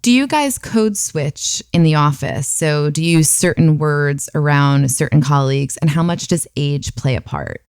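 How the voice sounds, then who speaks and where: A woman's voice: deep voice, expressive, measured pace, few pauses, darkish tone, clear, resonant tone, dry recording, studio quality. A woman in her thirties hosting a podcast, asking curious, thoughtful questions. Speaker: podcast host. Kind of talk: interview questions.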